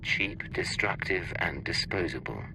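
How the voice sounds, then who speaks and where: An actor playing a cool imperial secret agent in a video game, terse, dry and deadpan. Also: male voice, low-pitched, unhurried, vocal fry, background noise, full character voice.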